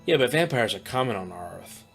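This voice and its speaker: A man's voice: fairly low pitch, lively intonation, brisk, creaky, fairly bright tone. A man voicing a young male protector who is a worried, hesitant brother, with faint music behind. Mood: uneasy. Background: faint background music.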